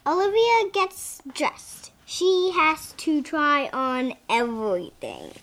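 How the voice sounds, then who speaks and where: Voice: sits high, very animated, takes it slowly, a bit bright. A six-year-old child reading a picture book aloud with chatty asides. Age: child, about six.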